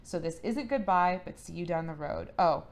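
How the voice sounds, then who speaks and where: A woman co-hosting a podcast, reading a listener email and answering it in a relaxed, soft way. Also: fairly low pitch, normal speed. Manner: relaxed, soft-spoken, trails off quietly. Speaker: podcast co-host. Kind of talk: casual chat, reading listener mail.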